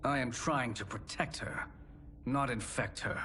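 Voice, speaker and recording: A man's voice: medium pitch, unhurried, slight background noise. An actor playing a scheming male sorcerer-scientist in a video game, speaking in a formal, articulate and condescending manner. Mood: defensive, condescending. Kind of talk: video game cutscene acting. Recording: loud music behind the voice. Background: loud music.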